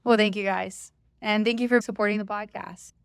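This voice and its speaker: A woman who hosts a running podcast, talking as an interview guest in a loud, emphatic, enthusiastic way and laughing easily. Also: mid-range voice, steady tone, fairly quick, gravelly, clear, resonant tone, dry recording. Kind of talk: casual interview chat.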